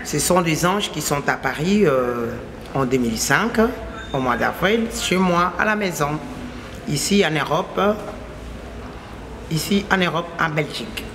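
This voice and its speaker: A woman's voice: low-pitched, lively intonation, a little slow, background noise. A woman from Kinshasa with a Congolese French accent, whose first language is Lingala, giving an emphatic religious testimony and restating her phrases in repetitive lists. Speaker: testifying believer.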